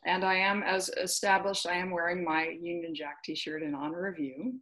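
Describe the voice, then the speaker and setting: Female podcast host, lowish voice, chatty and eager, quick laugh. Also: fairly even intonation, fairly bright tone, poor audio. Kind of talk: casual interview chat.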